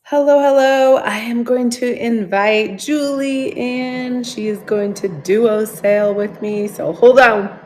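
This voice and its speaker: Slow-talking, slightly hoarse woman host. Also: a little high, a little mellow. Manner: chatty, greets viewers by name, laughs often. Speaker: live sale host. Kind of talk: casual live-stream chat.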